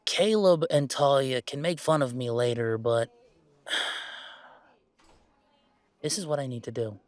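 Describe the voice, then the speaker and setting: A man playing an earnest, playful young werewolf gamer in an intimate, conversational roleplay audio, speaking in a halting, emphatic way. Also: medium pitch, no room sound, natural voice. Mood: serious, uneasy. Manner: halting, emphatic, expressive, with sighs and chuckles.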